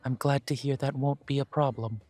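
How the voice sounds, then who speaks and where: A man voicing a polite male elf who owns a coffee shop, interviewing the listener for a job in a calm, formal way. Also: medium pitch, moderate pace, soft background music, natural voice. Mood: courteous. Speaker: shop manager interviewing an applicant. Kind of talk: audio roleplay, talking to the listener.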